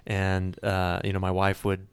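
Guy host in his 30s, um-ing and restarting a lot, lower voice, dry sound. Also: monotone, clear, resonant tone, dry recording. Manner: halting, many fillers and restarts. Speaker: podcast host. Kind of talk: casual interview chat.

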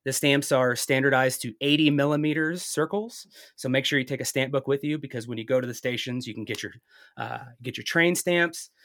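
A man's voice: medium pitch, brisk, a bit bright. A man speaking native English in a casual chat as a podcast guest, telling things in a rambling, storytelling way.

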